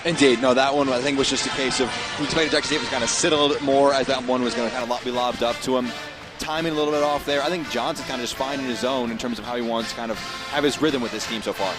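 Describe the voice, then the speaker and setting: A man's voice: mid-range voice, fairly even intonation, very fast, loud music behind the voice. An American man giving conversational color commentary on a live basketball game.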